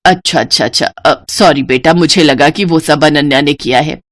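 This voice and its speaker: A woman's voice: sits low, moderate pace, voice pushed down. A woman voicing a middle-aged mother-in-law in a moral story, bossy, nagging and easily fooled. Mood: apologetic. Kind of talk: acted dialogue in a Hindi moral story.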